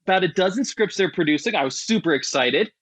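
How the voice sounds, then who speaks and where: A man's voice: high-pitched, animated, fast talker, fairly bright tone, no room sound. A man hosting a podcast in a casual, chatty and enthusiastic way. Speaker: podcast host.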